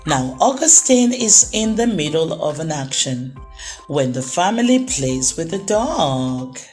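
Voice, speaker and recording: A woman reading a children's story aloud, with dramatic phrasing and drawn-out pauses. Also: sits low, big pitch swings, darkish tone, faint music behind. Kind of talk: expressive read-aloud storytelling for young children.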